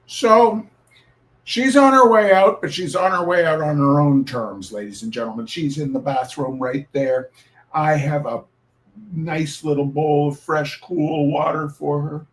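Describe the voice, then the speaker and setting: Slow-talking man with a higher-pitched voice. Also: poor audio. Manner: deliberate, halting, with dramatic pauses and repetition. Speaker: host of a reading night. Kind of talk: informal spoken introduction with personal storytelling.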